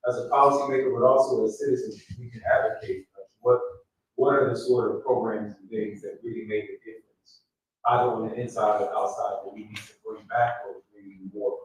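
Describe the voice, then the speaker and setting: A man speaking off the cuff at a public event, thinking aloud as he puts a question to speakers. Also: fairly low pitch. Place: live community event in a reverberant room. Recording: echoey hall, distant mic, faint noise.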